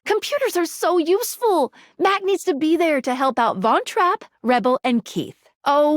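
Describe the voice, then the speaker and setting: High, chipper young game guide. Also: female voice, no echo, studio quality. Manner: perky, expressive, playful. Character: chipper, helpful young female magical guide, quirky and encouraging. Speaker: voice actor playing a guide character in a dating simulator game. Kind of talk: animated video game character dialogue.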